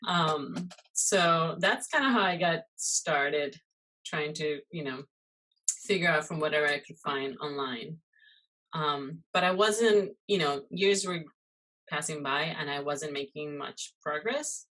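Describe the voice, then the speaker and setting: Low-voiced chatty woman from Costa Rica. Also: takes it slowly, sharp tone, rough recording. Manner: chatty, many fillers and "you know". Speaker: guest, muralist and illustrator. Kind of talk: casual interview chat.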